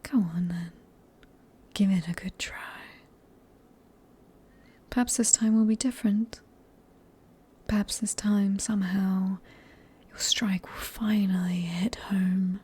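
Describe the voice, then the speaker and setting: A woman with a British accent voicing a sadistic, possessive, centuries-old vampire in a slow, menacing, breathy whisper for an ASMR roleplay. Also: mid-range voice, steady tone, mellow. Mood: taunting. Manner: slow, menacing, coaxing with pet names.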